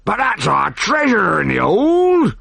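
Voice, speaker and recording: A man's voice: on the high side, very animated, measured pace, gravelly, bright, forceful tone, dry recording, pitched above the performer's own voice, exaggerated voice. A man doing a frantic, wailing pirate captain.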